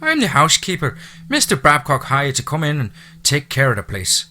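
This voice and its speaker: A man's voice: a little high, wildly swooping pitch, fairly quick, sharp tone, pitched above the performer's own voice, full character voice. A man voicing a chatty middle-aged Irish housekeeper woman with a lilting, motherly manner. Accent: Irish (put on).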